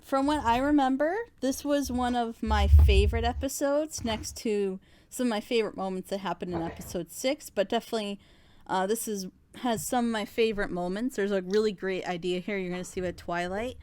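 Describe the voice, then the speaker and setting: A woman with an average-pitched voice hosts a casual group chat. She talks in an excitable, giggly manner, informally introducing what everyone is about to react to. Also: brisk, slight background noise. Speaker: host, co-director of a fan audio drama group.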